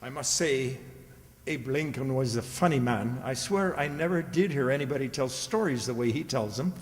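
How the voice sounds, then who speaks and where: A man's voice: medium pitch, moderate pace, natural voice. A man as a blunt, hearty country father. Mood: hearty, amused. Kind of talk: radio drama acting. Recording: hall-like reverb, music.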